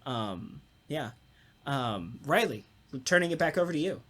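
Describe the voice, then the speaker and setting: A man's voice: fairly high pitch, lively intonation, measured pace, fairly bright tone. A man who works as a voice actor, chatting casually on a podcast panel in a jokey, rambling, self-deprecating way. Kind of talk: casual chat about radio plays.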